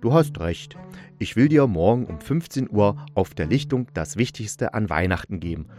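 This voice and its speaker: A man narrating a fairy tale as an expressive storyteller, reading from a script. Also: on the low side, moderate pace, faint music behind.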